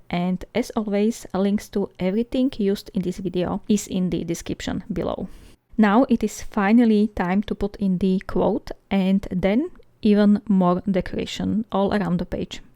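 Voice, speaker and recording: Chatty woman, mellow voice. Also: mid-range voice, fairly even intonation, normal speed, no echo. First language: Slovak. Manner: chatty, giggly, frequent light laughs. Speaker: journaling YouTuber. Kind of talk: voice-over narration of a craft video.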